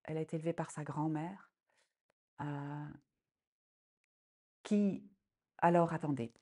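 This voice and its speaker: A woman storyteller in her sixties, from Congo, with a somewhat low voice. She talks in a halting, unscripted way, full of fillers and restarts. When she tells the tale she grows lively. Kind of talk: personal account and folk tale.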